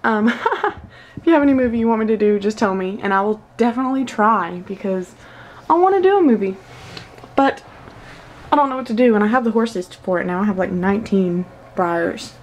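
A woman's voice: medium pitch, animated, brisk. A teenage girl casually filming a tour of her toy horse collection in her bedroom, chatty and gushing with lots of fillers. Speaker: vlogger. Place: bedroom at home. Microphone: handheld camera mic.

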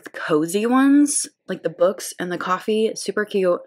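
A woman in her thirties hosting a planner channel, chatting casually and upbeat while setting up a weekly spread. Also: on the low side. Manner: chatty, upbeat, laughs often. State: voice lower than usual today. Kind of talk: casual plan-with-me voice-over.

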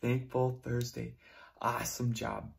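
Young man, preschool teacher, slow sing-song delivery, middle pitch, classroom whiteboard, camera close then farther off. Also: flat delivery, gravelly. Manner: slow, sing-song, encouraging teacher talk to small children. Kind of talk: online preschool lesson. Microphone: camera close up, moving away to write.

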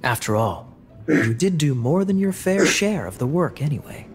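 A man's voice: on the high side, very animated, moderate pace. A man voicing a suave, sly young knight in an anime game, wry and self-assured. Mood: wry, relaxed.

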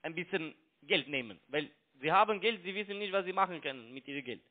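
High-voiced man, foreign accent, tinny phone. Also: expressive. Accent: foreign-accented German. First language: not German. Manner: halting, repetitive broken grammar. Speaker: phone scam caller. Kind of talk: argumentative phone call. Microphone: telephone. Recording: tinny narrow phone line.

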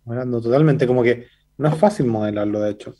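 A man in his thirties with a Chilean accent, a startup founder speaking over a video call, fluent and quick with many fillers. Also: mellow. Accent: Chilean Spanish. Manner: fast, fluent pitch, many fillers like "entonces" and "eh". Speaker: startup CEO guest. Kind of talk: business interview by video call. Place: indoor room.

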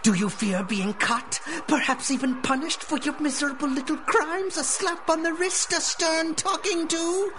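A cruel, domineering villain of radio drama, pitched high and theatrical. He sneers grandly at his underlings over a noisy backdrop. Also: normal speed, hardly any pauses. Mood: taunting threat. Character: menacing male crime boss, the Rat Lord, cruel and theatrical. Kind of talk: 1930s-style radio drama acting.

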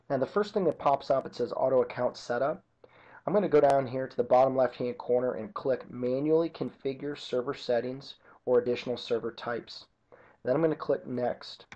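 A man narrating an informal software tutorial as an instructor, explaining each step methodically. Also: mid-range voice. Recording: dull lo-fi computer screen-recording audio.

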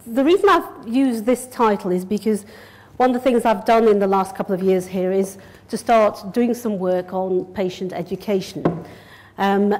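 A woman's voice: mid-range voice, a little mellow. A middle-aged woman from Yorkshire, a kidney doctor, giving a public lecture in a direct, organised, conversational way at a lectern mic. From Yorkshire, England. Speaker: consultant nephrologist. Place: lecture room, indoors.

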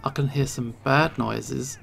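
Let's play streamer, mid-range creaky voice, nervous self-talk. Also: medium pitch, steady tone, measured pace. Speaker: video game streamer.

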